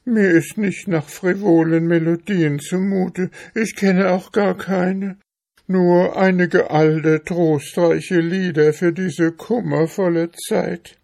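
A man's voice: high voice, steady tone, slow pace, creaky, darkish tone, pitched above the performer's own voice, full character voice. A man voicing a poor, hungry female mouse in a storybook, plaintive and politely begging. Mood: plaintive, woeful.

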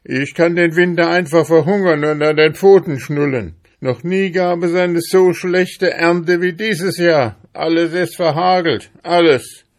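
A man's voice: fairly high pitch, measured pace, pitched above the performer's own voice. A man voicing a grumpy, stingy male hamster farmer in a storybook, blustering and grumbling indignantly. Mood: furious, indignant.

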